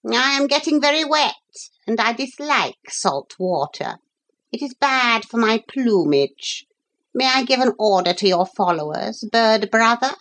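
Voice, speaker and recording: A woman's voice: fairly high pitch, lively intonation, measured pace, fairly bright tone, pitched above the performer's own voice. A woman voicing an earnest, dignified female parrot from a storybook, with formal, precise, pleading speech. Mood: calm urgency.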